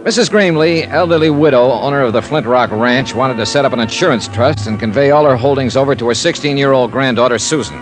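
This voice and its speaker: An actor playing a wry, dogged insurance investigator, with dry, sardonic, clipped delivery in 1950s radio detective style. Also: male voice, mid-range voice, quick pace, hardly any pauses, over music, lightly acted. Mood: dry narration. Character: wry, dogged freelance male insurance investigator narrating his case.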